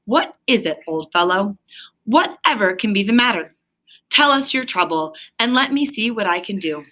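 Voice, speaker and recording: A loyal, good-hearted storybook rat, as a woman reads him aloud. He talks like an old-fashioned chum in a bright, clear-cut voice. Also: medium pitch, lively intonation, moderate pace. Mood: quiet, sympathetic. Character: kindly, hearty male rat, loyal chummy companion. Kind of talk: children's storybook read aloud.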